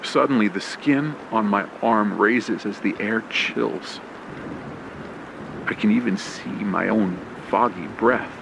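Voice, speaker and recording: A man narrating a scripted first-person horror story in old radio play style, with a dramatic, halting delivery. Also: on the low side. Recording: clear background noise with ambience and vehicle sounds. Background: rain, ambience and vehicle sound effects.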